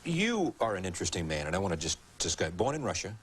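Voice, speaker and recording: A male TV host asking quick, probing interview questions. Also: low-pitched, very animated, a little hiss or hum.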